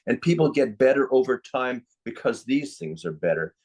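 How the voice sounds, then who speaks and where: A man's voice: medium pitch, expressive, normal speed, a little mellow. An older man speaking as a psychiatrist in an interview, giving his opinions in a reflective, conversational way.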